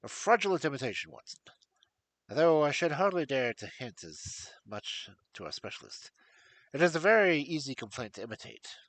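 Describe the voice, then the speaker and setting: A man reading a story aloud, voicing a cool, incisive male detective who reasons in a measured, clipped way. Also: on the high side, very animated, fairly bright tone, dry recording, low-quality recording. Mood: analytical. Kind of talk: audiobook character voice.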